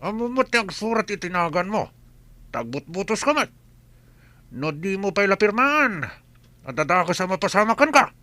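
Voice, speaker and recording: A man acts a threatening masked thug for an audio drama, with an Ilocano accent. The voice is high-pitched, hard and bright, recorded very dry. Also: very animated, normal speed, no room sound, heavily acted. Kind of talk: scripted audio drama acting.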